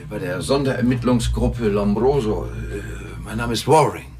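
Guy playing pompous courtly professor in a radio play, deep voice that swings around, faint music behind. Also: animated, normal speed, mellow, lightly acted. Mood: polite, smug. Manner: hesitant, over-polite, pompous. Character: courtly, self-important male forensic psychiatry professor. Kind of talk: radio crime drama dialogue.

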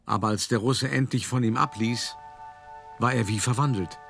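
A man playing a shrewd, worldly art dealer who recounts his past in a radio drama, in a wry, measured, conversational way. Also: mid-range voice, vocal fry, fairly bright tone, faint music behind. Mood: confiding. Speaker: narrator. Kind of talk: radio drama monologue, first-person storytelling.

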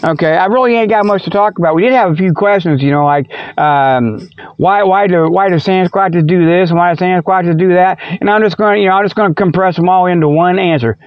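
A man's voice: high-pitched, brisk, clean tone, no echo, dull audio, natural voice. A man voicing a goofy, wisecracking cat who hosts a comedy radio show in a rambling, folksy, stumbling way. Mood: teasing, wisecracking. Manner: rambling, folksy, stumbling, chuckles at own jokes. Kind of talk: comedic radio monologue with spoof ads.

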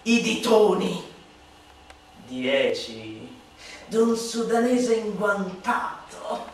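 A woman's voice: medium pitch, expressive, extremely slow, a little hiss or hum. A woman performing a satirical literary monologue as radio theatre, in a declamatory, mocking manner. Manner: declamatory, mocking, swinging between hushed asides and shouted tirades. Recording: very echoey radio theatre, distant, loud music. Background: loud music and percussion.

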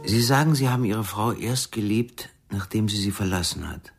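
An actor playing a cool, probing male therapist with shady dealings in a German radio crime drama, speaking in a measured way. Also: lowish voice, gravelly, faint music behind.

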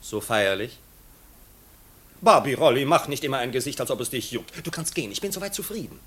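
An actor playing a breezy, imperious marquis in a 1950s radio play, flippant and bossy, on a noisy old recording. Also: male voice, mid-range voice, animated, brisk, a bit bright, close to an everyday voice. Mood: impatient. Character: breezy, imperious, wealthy marquis, teasing his servant.

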